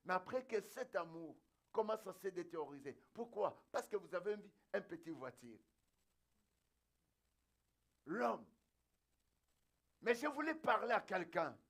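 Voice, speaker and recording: A man's voice: high voice, big pitch swings, measured pace, vocal fry, pauses often, fairly bright tone. A man delivers a sermon as a pastor. He speaks emphatically, exhorting his listeners and repeating phrases to drive them home. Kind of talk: fervent preaching sermon.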